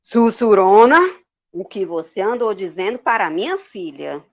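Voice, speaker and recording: A woman's voice: mid-range voice, measured pace, darkish tone, lightly acted. A woman playing a haughty, melodramatic queen and mother in a comedic audio drama, imperious and theatrical. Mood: angry.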